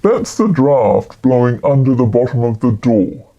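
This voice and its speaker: A woman voicing a calm, commanding male magician in a storybook, speaking in a deliberate, reassuring way. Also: extremely low pitch, lo-fi sound, pitched below the performer's own voice, exaggerated voice. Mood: calm, instructing.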